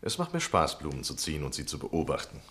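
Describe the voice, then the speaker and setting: Flattering male lodger for period radio play. Low voice, gallant, formal and courteous. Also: fast talker, exaggerated voice. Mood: coaxing. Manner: polite, formal, ingratiating. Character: courteous, flattering gentleman lodger, eager to please. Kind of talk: German radio play acting.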